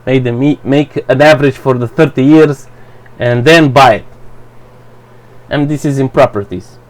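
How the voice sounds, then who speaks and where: A man whose first language is Romanian, giving a blunt, profane, halting commentary for his channel. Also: mid-range voice. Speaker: vlogger. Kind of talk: rambling personal commentary.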